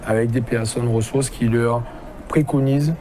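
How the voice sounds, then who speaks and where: A man, a teacher, explaining a school project in a steady, explanatory way. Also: medium pitch, moderate pace, a little hiss or hum. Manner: steady, explanatory, uses "en fait". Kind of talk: explanatory interview.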